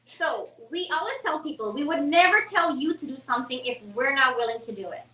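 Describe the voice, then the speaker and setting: A woman's voice: high-pitched, lively intonation, fairly quick, sharp tone, distant mic, a little hiss or hum. A woman realtor, a guest on a talk show, chatting casually and animatedly, laughing often. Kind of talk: casual chat. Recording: roomy sound from off mic.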